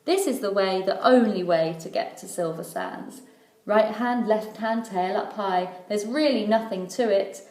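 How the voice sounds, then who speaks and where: A woman voicing a helpful, confident female acrobat in a storybook, giving encouraging, brisk instruction. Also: mid-range voice, a little mellow, roomy sound, close to an everyday voice.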